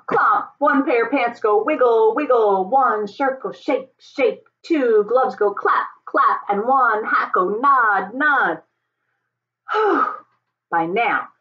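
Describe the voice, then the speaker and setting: A middle-aged woman reading a storybook aloud to children, with dramatic emphasis and deliberate pauses. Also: a little high, fairly bright tone, dull audio. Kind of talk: expressive picture-book read-aloud. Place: home office, indoors.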